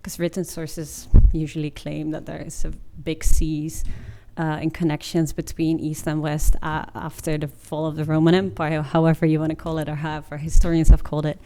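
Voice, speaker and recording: A woman's voice: deep voice, level tone, moderate pace, hardly any pauses, warm tone. A woman in her twenties giving an informative presentation in an informal, explanatory way. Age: 20s. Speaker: master's student presenter.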